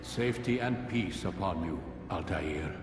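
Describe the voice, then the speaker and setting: An actor playing an aged, commanding assassin master in a video game, speaking as a grave, oratorical mentor. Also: male voice, sits low, animated, a little slow, reverberant, in a large hall, distant mic, music in the background. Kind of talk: video game cutscene dialogue.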